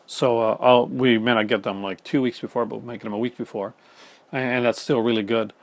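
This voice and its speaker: A Canadian man talking fast and casually to the camera at home, with many fillers and restarts. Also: mid-range voice, fairly even intonation, no echo. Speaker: film collector YouTuber. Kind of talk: casual chat reading viewer comments. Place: home room in front of film shelves.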